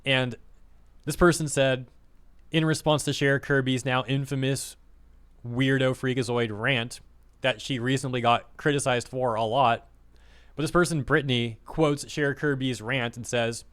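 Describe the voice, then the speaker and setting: A man rants in casual commentary, scornful and full of fillers, with his words tumbling out in quick bursts. His voice is slightly hoarse, as if he is losing it. Underneath, the tone stays smooth and resonant. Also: mid-range voice, steady tone, fairly bright tone. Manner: scornful, filler-heavy, talks fast in bursts. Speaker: vtuber commentator.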